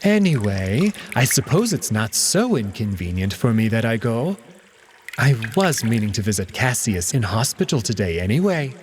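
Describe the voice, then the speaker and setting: A man's voice: mid-range voice, sing-song, moderate pace, slight background noise, close to an everyday voice. A man voicing a haughty, wounded male cat courtier in a visual novel, speaking primly and formally with a guarded, peevish air. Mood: guarded, aloof. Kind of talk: visual novel character acting.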